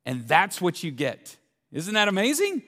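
A pastor in his forties preaching a sermon, energetic and joking, full of rhetorical questions. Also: high voice, normal speed. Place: large church auditorium. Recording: echoey church hall.

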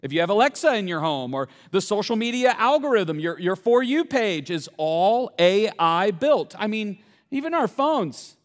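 A pastor in his forties preaching a sermon, energetic and joking, full of rhetorical questions. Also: high-pitched, moderate pace. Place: large church auditorium. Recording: echoey church hall.

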